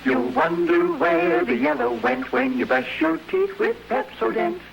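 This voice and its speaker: A man's voice: high voice, huge pitch swings, unhurried, gravelly, over music, poor audio. A man performing a catchy vintage radio advertising jingle, on an old cassette recording.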